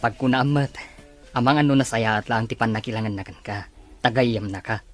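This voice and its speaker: A man playing a sincere, lovestruck suitor in a drama, with a husky voice. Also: mid-range voice, fairly quick, faint music behind, natural voice. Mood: tender, hopeful. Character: sincere, lovestruck older brother, hopeful suitor. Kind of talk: Ilocano radio-style drama acting.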